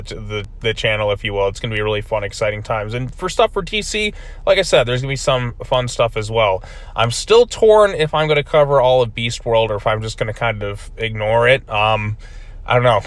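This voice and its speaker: A man's voice: mid-range voice, a bit bright, no echo. A man giving a casual video review of a comic book, rambling with many fillers, his voice still recovering from being lost.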